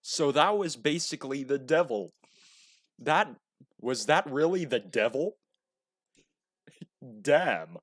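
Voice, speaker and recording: Slow-paced man doing casual game commentary. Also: mid-range voice, lively intonation, a bit bright, dry recording, clean studio sound. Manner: casual, exclamatory, mildly swearing. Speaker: gaming video host. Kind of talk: casual let's-play commentary on a visual novel. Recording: music behind the voice. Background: guitar music.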